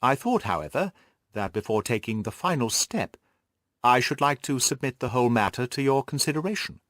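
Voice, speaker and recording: Man voicing an earnest, animated young governess. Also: medium pitch, brisk, no echo, clean studio sound, close to an everyday voice. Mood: resolved, inquiring. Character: earnest, anxious young Victorian governess telling her story.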